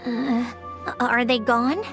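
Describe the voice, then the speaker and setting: A woman's voice: a little high, steady tone, takes it slowly, loud music behind the voice. A woman voicing a determined, slightly hesitant young woman in a video game, earnest and businesslike.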